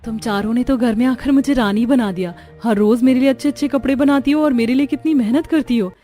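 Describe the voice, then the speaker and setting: A woman's voice: a little high, fast talker, warm tone, soft background music, lightly acted. A woman voicing a humble, grateful young daughter-in-law in a family cartoon, earnest and deferential.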